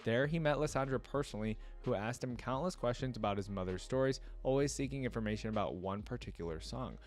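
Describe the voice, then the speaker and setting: A man's voice: medium pitch, quick pace, soft background music. A man hosting a reaction video, reading text aloud and commenting on it in an informal, thinking-aloud way. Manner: informal, thinking aloud between read passages.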